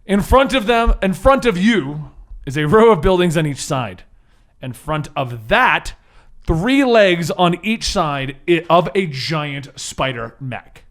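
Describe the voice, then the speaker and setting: A man's voice: on the high side, expressive, unhurried, fairly bright tone. A man working as game master, hosting a tabletop superhero game, explaining rules and narrating scenes.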